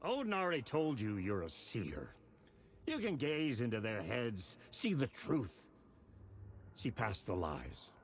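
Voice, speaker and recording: An actor playing a gruff, foul-mouthed old grandfather full of regret and defiance in a video game, speaking bluntly and with weight. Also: mid-range voice, huge pitch swings, slow, slight background noise, heavily acted. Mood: urging, defiant. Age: older. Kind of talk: video game acting, dramatic.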